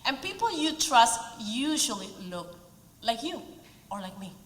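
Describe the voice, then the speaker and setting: Peruvian-accented woman in her forties, higher pitch, earnest event speaker, reverberant hall. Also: very animated, measured pace, fairly bright tone. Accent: Peruvian Spanish. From Peru. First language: Spanish. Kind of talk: prepared speech.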